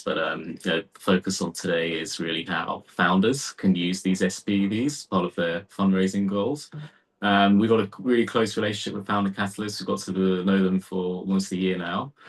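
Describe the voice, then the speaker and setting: A man founder introducing himself and his company in an easygoing way on a video call. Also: low voice. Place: quiet basement room in a London members' club.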